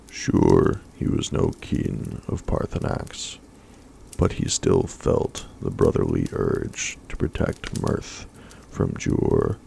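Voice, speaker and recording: Very deep, gravelly soft-spoken man. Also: a little hiss or hum. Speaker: ASMR storyteller. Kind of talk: soft-spoken ASMR story reading.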